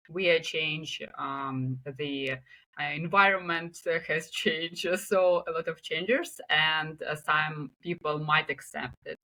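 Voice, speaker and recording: A woman's voice: low-pitched, pushed and bright, rough recording. A woman from Ukraine with a Ukrainian accent, speaking English as a guest on a remote interview, hesitant with many filler sounds. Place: home in Kyiv, remote call.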